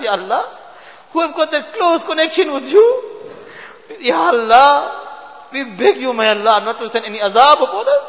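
A man's voice: very high voice, fairly bright tone. A man leading an impassioned, pleading prayer of supplication, his voice breaking with emotion, recorded in a mosque prayer hall. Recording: dull, reverberant hall recording.

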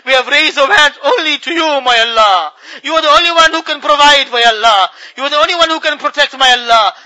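A man's voice: very high-pitched, fairly quick, bright, forceful tone. A man leading a fervent spoken prayer in a mosque, pleading with a repeated refrain, his voice breaking with emotion. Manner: pleading, ends each plea with a refrain. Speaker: imam leading supplication.

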